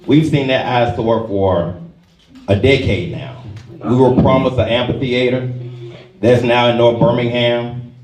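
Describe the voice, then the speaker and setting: A man's voice: medium pitch, darkish tone. Firm man speaking at a forum. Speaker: candidate. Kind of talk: forum answer. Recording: echoey hall from far off.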